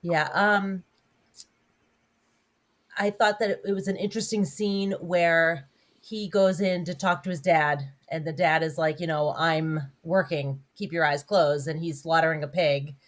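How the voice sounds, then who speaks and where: Low-voiced woman explaining a scene. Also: steady tone, measured pace. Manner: thoughtful, explains scenes with "like" and "you know". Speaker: podcast co-host. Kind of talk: casual movie-review chat.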